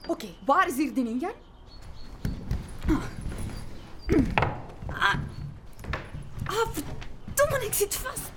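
A high-voiced Flemish woman in a radio comedy, stubborn and doting on her dog. Her colloquial speech swings very widely in pitch between coaxing and exasperation. The recording is clearly noisy. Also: very animated, takes it slowly, long pauses, heavily acted. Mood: urgent, frustrated. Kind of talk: naturalistic radio comedy acting.

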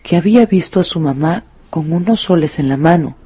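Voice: medium pitch, lively intonation, a little fast, dark tone, slightly rough. A narrator reading a scripted, dramatized story for a radio drama.

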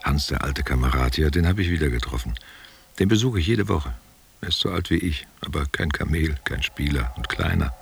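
Very deep, gravelly old man reminiscing. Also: animated, fairly quick, full character voice. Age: older. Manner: musing, rhetorical questions, intimate address. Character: old former actor and war veteran, reminiscing, tenderly condescending. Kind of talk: literary radio play monologue.

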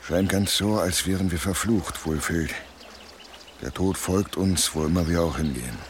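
A world-weary villager acted by a man with an extremely deep voice in a medieval radio drama. He sounds dry and resigned, outdoors amid clear ambient noise. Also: moderate pace, natural voice. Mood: gloomy. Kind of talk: German radio drama acting.

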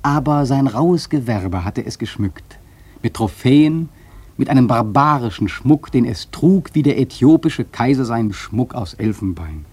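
An actor playing a thoughtful sailor who recounts his voyage in a radio play, measured and earnest. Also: mid-range voice, lively intonation, darkish tone, breathy edge, no echo. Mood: solemn, admiring. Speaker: narrator and protagonist. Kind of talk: literary radio play narration.

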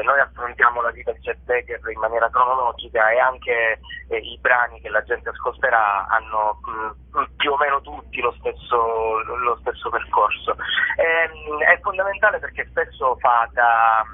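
A man's voice: mid-range voice, moderate pace, slightly rough. A male stage actor giving a casual radio interview, speaking enthusiastically and often hesitating and repeating words as he searches for the phrase. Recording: narrow phone-like line.

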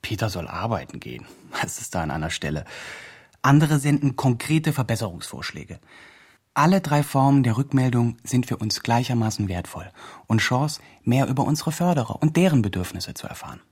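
An actor playing an earnest fundraising organizer, delivering formal progress reports in a radio drama. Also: male voice, medium pitch, very animated, a little fast, breathy edge. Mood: reporting. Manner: formal, report-like project jargon. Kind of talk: radio drama, scripted narration.

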